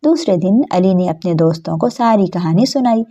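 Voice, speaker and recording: A woman's voice: fairly low pitch, lively intonation, dark tone, dull audio. A woman storyteller reading scripted narration of a story for children.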